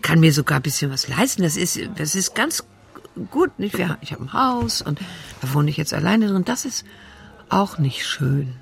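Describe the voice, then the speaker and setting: An actress playing a sociable, curious widowed grandmother in her sixties, speaking haltingly and agreeably in a naturalistic radio drama. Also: deep voice, very animated, gravelly, exaggerated voice. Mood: pensive, frank. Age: 60s. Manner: halting, agreeable, seeks confirmation with tag questions.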